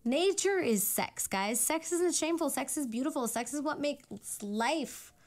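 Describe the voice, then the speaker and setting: A woman's voice: high-pitched, lively intonation, measured pace. A woman whose first language is Spanish, chatting casually on her own show in a rambling, filler-filled way. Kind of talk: casual rambling chat, reading old letters aloud.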